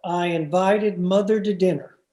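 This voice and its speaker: A woman's voice: fairly low pitch, fairly even intonation, a little slow, mellow, natural voice. A woman playing a sheepish, placating husband in an old-time radio sitcom, hesitant and apologetic. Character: sheepish, placating suburban husband, a mother's boy. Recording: roomy, echoing home video-call recording.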